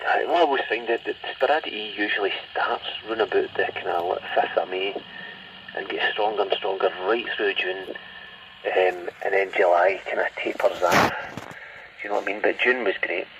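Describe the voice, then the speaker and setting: A man's voice: sits low, moderate pace. A Scottish man chatting casually over CB radio in a rambling way, often adding little tag questions. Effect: CB radio transmission. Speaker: CB radio hobbyist. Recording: muffled, distant, faintly noisy CB radio.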